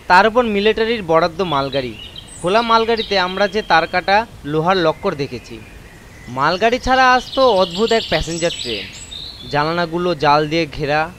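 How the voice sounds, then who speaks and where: A man with a high voice narrates a first-person horror tale as a dramatised reading. Clear outdoor ambience with birds runs behind his words. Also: background noise. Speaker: story narrator. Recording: constant nature ambience effects behind voice.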